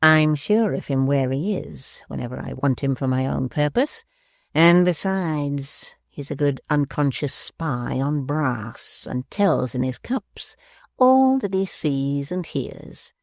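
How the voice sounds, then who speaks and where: A man's voice: fairly high pitch, lively intonation, slow, creaky, no echo, studio quality, full character voice. A man voicing a spiteful, bullying male dwarf in an audiobook, sneering and menacing. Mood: scheming. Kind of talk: audiobook character voice.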